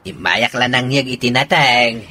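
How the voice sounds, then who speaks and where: A man's voice: mid-range voice, unhurried, sharp tone, a little hiss or hum. A man playing a concerned in-law chatting in an Ilocano radio drama. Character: concerned male in-law chatting about the couple.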